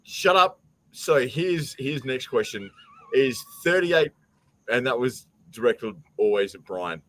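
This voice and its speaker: Male host, medium pitch, loose bantering style, blunt ribbing, stammery restarts. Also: animated, slow pace. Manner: teasing, blunt, stammering repeats. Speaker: show host running a quiz. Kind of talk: casual bantering chat.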